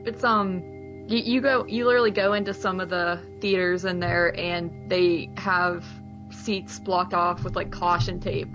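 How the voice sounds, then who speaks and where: A woman's voice: lowish voice, steady tone, unhurried, a bit bright. A woman chatting informally and conversationally as co-host of a movie podcast. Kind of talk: casual chat. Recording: dull lo-fi sound, music behind.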